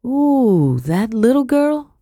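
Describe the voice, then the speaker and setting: Woman reading a scolding middle-aged housekeeper, high-pitched, brisk and rustic, dry close sound. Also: big pitch swings, dark tone, no room sound, full character voice. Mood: brusque. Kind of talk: audiobook character voice.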